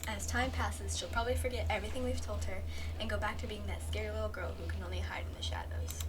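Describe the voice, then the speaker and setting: A woman's voice: mid-range voice, steady tone, fast, far from the mic, background noise. A woman gaming streamer reading game text aloud and reacting as she plays. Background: game music.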